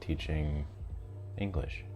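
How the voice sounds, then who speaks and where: Very deep-voiced male radio host. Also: slow pace, over music. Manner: easygoing, chatty interviewer. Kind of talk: casual live radio chat with callers.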